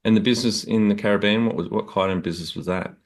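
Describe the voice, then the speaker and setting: A man's voice: fairly low pitch, steady tone, fast talker. A man with an Australian accent hosting a business podcast, upbeat and direct as he asks questions. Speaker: podcast host. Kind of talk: interview host, scripted intro.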